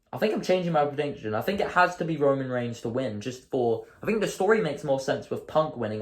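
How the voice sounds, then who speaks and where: Man, hoarse voice, casual solo host, thinks aloud, middle pitch, echoey room. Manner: thinking aloud, chatty and self-correcting.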